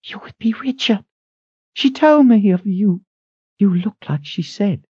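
A man's voice: high-pitched, expressive, measured pace, warm tone, husky, no room sound, pitched above the performer's own voice, exaggerated voice. A man voicing a thin older woman, a head cook, speaking in an uneasy, broken voice. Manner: quavering, broken voice. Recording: dull lo-fi audio.

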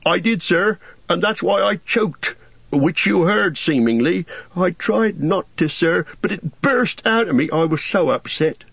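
A high-pitched, humble young hobbit gardener, fretful and eager, his speech rustic and husky. The recording is dry and a little dull. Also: expressive, measured pace, fairly bright tone, no room sound, exaggerated voice. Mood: eager earnest. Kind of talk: audiobook character voice.

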